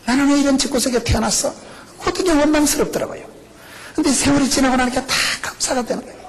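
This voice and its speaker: A middle-aged male pastor with a Gyeongsang accent, preaching a lively, joking sermon full of rhetorical questions. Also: very high voice, fast, low-quality recording. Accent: Gyeongsang Korean. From rural South Korea. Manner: animated, jokey preaching with rhetorical questions and laughs.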